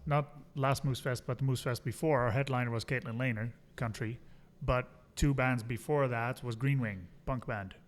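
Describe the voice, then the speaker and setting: A man co-hosting a podcast, talking in a quick, offhand way. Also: medium pitch, fairly even intonation. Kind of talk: casual podcast chat.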